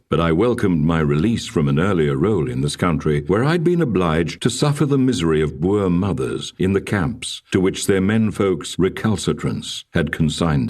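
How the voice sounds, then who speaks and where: A man narrating a first-person literary memoir as an audiobook reader, in a measured, formal and expressive storytelling manner. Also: low-pitched, recorded from a distance.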